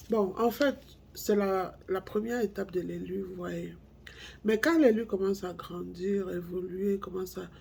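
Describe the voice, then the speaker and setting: A woman with a cold speaking to her viewers in a slow, halting monologue. She repeats herself and drops to near-whispers. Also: medium pitch, creaky, mellow, slight background noise. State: has a cold, congested. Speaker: spiritual speaker.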